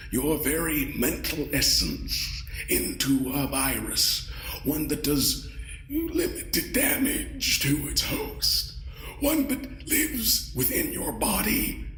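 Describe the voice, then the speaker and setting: A man voicing an ancient, courtly alien mind-parasite in an audio drama, pompous and smugly menacing, savoring his pauses. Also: medium pitch, fairly bright tone, heavily acted. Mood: smug, boastful. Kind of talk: scripted audio drama acting. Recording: roomy echo.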